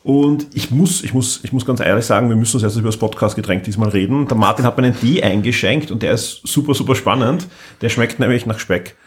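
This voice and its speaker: A man with an Austrian accent and a medium-pitched voice hosts a podcast. He talks in a casual, conversational way, as in an informal chat with co-hosts. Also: quick pace. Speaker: podcast host.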